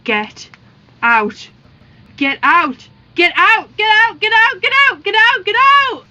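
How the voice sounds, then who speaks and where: A woman playing a fiery, defiant wife in an audio drama, with a scornful, forceful manner. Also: very high-pitched, very animated, slow pace, heavily acted. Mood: furious. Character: fiery, defiant wife defending her mother.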